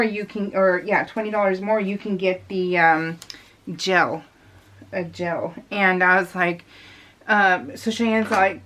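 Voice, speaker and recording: Middle-aged American woman, slightly high voice, casual rambling vlog storytelling, giggly, chatty. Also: fairly bright tone. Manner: chatty and giggly, acting out remembered conversations. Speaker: craft video blogger. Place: voice-over talk recorded while crafting.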